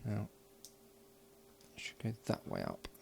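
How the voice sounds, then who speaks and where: A man talking himself through a hands-on electronics project, halting and thinking aloud with frequent restarts. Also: lowish voice, huge pitch swings, gravelly, a little hiss or hum. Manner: halting, muttering, many restarts and fillers. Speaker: electronics hobbyist.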